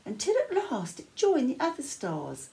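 Older woman reading slowly, very expressively. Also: sits high, wildly swooping pitch, creaky, warm tone. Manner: measured, dramatic phrasing with pauses. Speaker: storyteller reading a children's bedtime story. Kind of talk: expressive picture-book read-aloud. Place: small living room, indoors.

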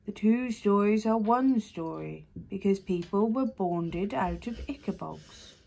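A woman voicing an enormous, gentle creature that speaks slowly and solemnly in a deep voice, reading a storybook. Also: lively intonation, vocal fry. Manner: slow, grave, deliberate.

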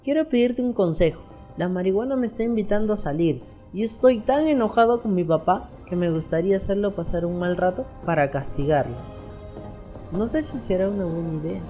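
A woman's voice: mid-range voice, lively intonation, a little fast, mellow, lo-fi sound, soft background music, close to an everyday voice. A woman playing a plaintive, unsure schoolboy in an educational radio play. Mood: hesitant, troubled. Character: glum, hesitant schoolboy, misunderstood and timid. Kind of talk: educational radio soap acting.

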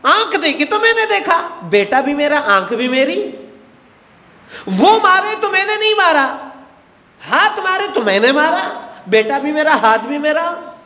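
A man's voice: very high-pitched, roomy sound, in a large hall. A middle-aged man giving a religious lecture, acting out little dialogues and gesturing broadly, on a headset mic. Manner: animated, gestures widely, acts out everyday dialogues. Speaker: Shia cleric lecturing. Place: studio with a blue backdrop, indoors.

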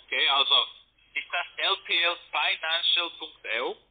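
A man's voice: fairly high pitch, moderate pace. A man speaking non-native German with a foreign accent, a polite call-centre agent giving instructions and adding questioning tags. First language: not German. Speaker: call centre account manager. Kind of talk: scripted-sounding phone sales and support. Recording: tinny band-limited phone line.

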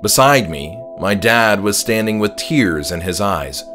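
A man's voice: on the low side, lively intonation, measured pace, sharp tone. A man narrating a horror audiobook as its author, in a dramatic scripted style. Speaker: author narrating his own horror story. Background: music.

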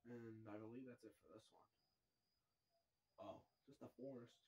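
An excitable reaction host who reads on-screen text aloud and comments on it, often exclaiming and repeating phrases. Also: medium pitch, moderate pace, a little hiss or hum, low-quality recording. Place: small dark room at home, indoors.

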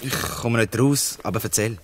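A man's voice: mid-range voice, normal speed, noisy background, lightly acted. An actor playing a wary, defiant orphan boy in a Swiss German radio play.

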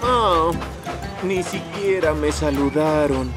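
A man's voice: fairly high pitch, slow, music in the background. A man voicing a touchy, sulky little steam train in a Latin American children's cartoon, grumbling and exclaiming indignantly.

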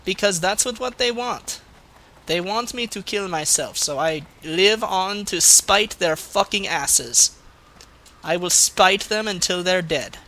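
High, bright mock-Russian man putting on a gamer grumbler. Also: slow pace, heavily acted, low-quality recording. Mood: sulky, spiteful. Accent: put-on Russian. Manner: crude, swearing, mock-menacing, broken English. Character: crude, boastful, grumbling Russian man playing games. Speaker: gamer commentating gameplay video. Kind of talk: comic gameplay commentary.

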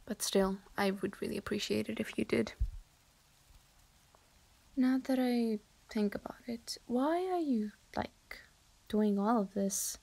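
A young woman voicing an irritated young woman who bluntly rebuffs a persistent admirer, with sarcasm and frequent breathy whispers. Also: medium pitch, slow, long pauses, warm tone. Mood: annoyed. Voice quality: often drops to a breathy whisper. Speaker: voice roleplayer. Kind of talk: improvised audio roleplay.

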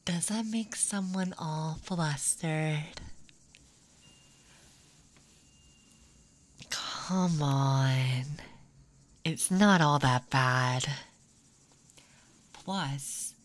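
Slow, teasing tomboy played by a woman. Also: sits low. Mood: flirty, bossy. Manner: teasing, drawling, slipping into whispers. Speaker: ASMR roleplay performer. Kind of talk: ASMR roleplay, intimate second-person acting.

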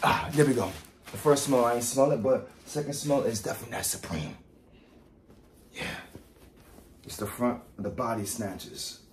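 Guy vlogging, animated n boastful, repeats himself for emphasis, bit of noise behind him. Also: mid-range voice, moderate pace, pauses often. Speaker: vlogger, product reviewer. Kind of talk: casual hype unboxing vlog, talking to viewers.

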